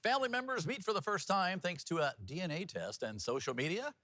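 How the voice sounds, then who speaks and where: A man's voice: high voice, big pitch swings, a little fast, bright, forceful tone, no room sound. A man anchoring local TV news with a joking, bantering manner. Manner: jokey, joshing. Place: TV studio.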